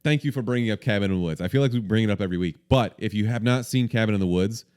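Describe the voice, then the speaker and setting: Deep, clear-voiced podcast host. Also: male voice, darkish tone. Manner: brisk, sweary, runs the segments. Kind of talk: casual movie podcast chat.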